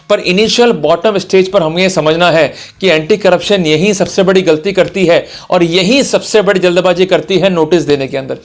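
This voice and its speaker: Male lecturer, notably high voice, emphatic and instructive, addressing online viewers directly. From India. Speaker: lawyer giving a law lecture.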